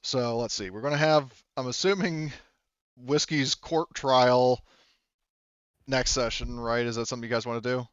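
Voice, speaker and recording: A man's voice: mid-range voice, steady tone, normal speed, clean tone, dry recording. A man speaking casually as game master of a tabletop game, explaining things with hesitant asides. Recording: muffled, slightly lo-fi voice chat mic.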